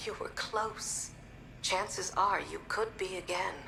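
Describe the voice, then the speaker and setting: A woman's voice: medium pitch, slow, vocal fry, bright, forceful tone, slight background noise, heavily acted, low-quality recording. An actress playing a frank, loyal woman giving advice in video game dialogue, direct and matter-of-fact. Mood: frank, encouraging.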